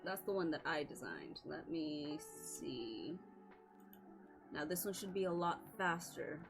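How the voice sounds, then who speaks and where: A woman's voice: sits low, very animated, unhurried, gravelly, over music. A woman streaming live with a scratchy voice, chatting casually, apologizing often, swearing and laughing a lot.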